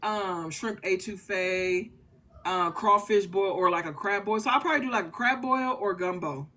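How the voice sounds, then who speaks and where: Woman, thirties, mid pitch, earnest rambling interview guest. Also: normal speed, bright, forceful tone, a little hiss or hum. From Louisiana family. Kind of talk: casual interview chat.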